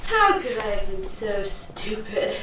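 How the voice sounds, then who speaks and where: A woman's voice: medium pitch, big pitch swings, measured pace. A woman playing an anxious, squeamish, brainy child, wordy and fretful, in a family audio drama. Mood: fretful, indignant. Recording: very echoey, distant, faint noise.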